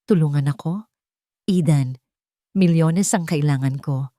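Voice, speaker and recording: A woman's voice: low voice, a little slow, dark tone, dry recording, studio quality. A woman voicing a bankrupt businesswoman who speaks in a shaky, sarcastic way, in a storybook drama. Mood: desperate.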